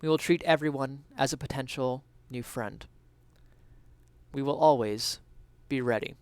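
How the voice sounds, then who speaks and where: A man reading an essay aloud as a dramatic reading, with earnest, theatrical, deliberate phrasing, recorded close to the mic. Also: medium pitch, clean tone, no room sound. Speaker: reader of an essay.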